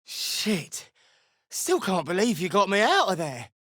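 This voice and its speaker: A woman playing a foul-mouthed, defiant gnome in a video game, with a brash, gruff and sardonic delivery. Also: mid-range voice, sing-song, takes it slowly, fairly bright tone, no room sound, studio quality, heavily acted.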